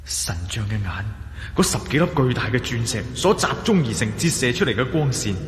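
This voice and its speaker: An actor with a Hong Kong Cantonese accent playing a determined, brooding male adventurer in a radio drama, expressive and given to musing aloud. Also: mid-range voice, brisk, a little hiss or hum, natural voice.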